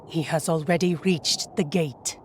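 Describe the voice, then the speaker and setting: An actress playing a solemn, steady warrior companion in a video game cutscene, measured and serious. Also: female voice, low-pitched, a little hiss or hum.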